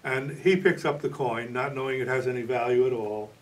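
A man's voice: mid-range voice, brisk, far from the mic, poor audio. A man in his sixties answering questions as an author in an interview. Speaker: novelist and playwright being interviewed.

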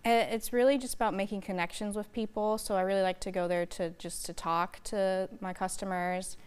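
A woman's voice: medium pitch, fairly even intonation, brisk, a little mellow, clean tone. A local artist on a morning TV segment, a young American woman with a clean, resonant voice, explaining things casually. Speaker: interview guest, artist and art teacher. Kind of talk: casual interview answers. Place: TV news studio. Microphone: lapel mic.

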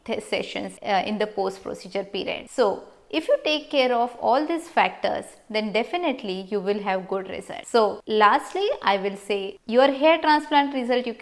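A woman in her thirties with an Indian accent, a doctor giving a measured, instructive explanation point by point to camera. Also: mid-range voice, expressive. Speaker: doctor, cosmetic surgeon presenting. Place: small clinic room, indoors.

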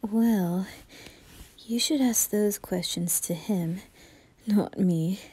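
Woman acting a gentle, hushed, slow-spoken maid. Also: mid-range voice, husky. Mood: gentle, reassuring. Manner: hushed, soothing, deferential. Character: gentle, reassuring young maid, calm and polite. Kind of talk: roleplay ASMR acting.

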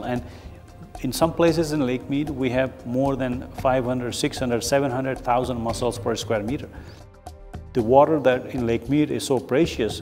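Middle-aged man from Nepal, earnest explainer with fillers, lapel mic, roomy hall, soft music under. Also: mid-range voice. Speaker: research professor. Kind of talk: documentary interview. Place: large open office with windows.

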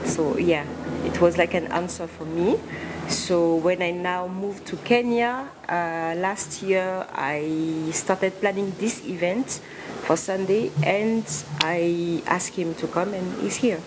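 Woman, gospel singer at a press table, slow deliberate answers, lower voice, noisy room. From West Africa. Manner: slow, thoughtful, frequent fillers and laughs. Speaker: gospel singer hosting a concert. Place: press conference room, indoors. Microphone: table microphone.

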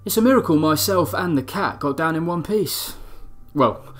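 An actor playing a rough, hard-drinking ex-bouncer housemate who talks bluntly and colloquially in an audio drama. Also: male voice, fairly high pitch, expressive, normal speed, lightly acted. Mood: wry. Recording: prominent music under the voice.

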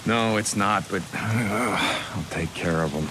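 An actor playing a terse, sardonic, hot-tempered drifter biker in a video game cutscene. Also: male voice, on the low side, measured pace, natural voice. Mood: guarded. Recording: clear rain-like noise behind.

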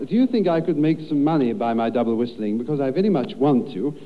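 A man's voice: medium pitch, animated, fast. A young man from London with an English accent, answering in a radio interview as a contestant, politely and formally, with some hesitation. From London, England. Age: young adult. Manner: polite, well-spoken, hesitant with formal phrasing. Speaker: amateur contestant. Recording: muffled, hissy 1930s radio broadcast.

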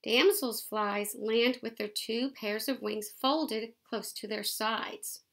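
A woman's voice: a little high, steady tone, slow pace, gravelly, fairly bright tone, heavily acted. A woman voicing a patient mother explaining pond life from a field guide in a storybook. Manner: explaining, teacherly.

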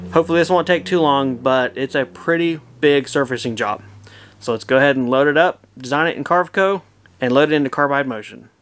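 A man in his thirties casually explaining a machine setup in his home woodshop, easygoing and plainspoken, thinking out loud. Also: mid-range voice, fairly quick. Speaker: woodworking channel host. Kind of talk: casual how-to narration.

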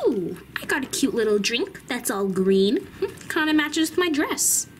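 A woman voicing an excitable, bossy toddler girl doll in chatty, exclaiming doll play for children. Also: fairly high pitch, normal speed, a little hiss or hum. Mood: excited, curious.

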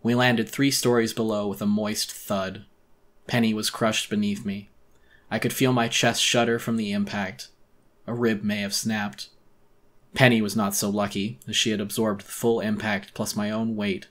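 A man narrating a horror story as a dramatic audiobook reading, intense, theatrical and deliberate. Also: lowish voice, level tone, fairly bright tone.

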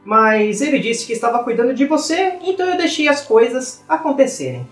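A man's voice: very high-pitched, big pitch swings, fairly quick, a bit bright, roomy sound, faint music behind, full character voice. A man voicing an elderly, wistful hobbit who rambles reflectively in a storybook reading. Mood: chatty. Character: elderly male hobbit, wistful, chatty adventurer. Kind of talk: dramatized book reading. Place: small indoor room.

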